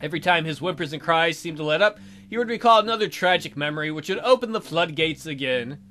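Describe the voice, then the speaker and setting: A let's play host narrates a visual novel in a bright, animated voice. The reading is broken by wry, teasing asides, with music underneath. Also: medium pitch, fast talker. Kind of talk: story narration with wry running commentary.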